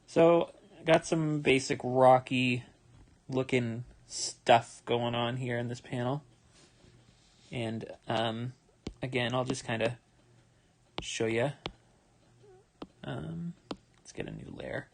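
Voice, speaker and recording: A tired young man with a relaxed, rambling manner, talking casually with many fillers as he draws live and explains his steps. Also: mid-range voice, takes it slowly, vocal fry, pauses often, noisy background. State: tired, yawning. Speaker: manga artist streaming his drawing. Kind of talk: casual livestream commentary while drawing.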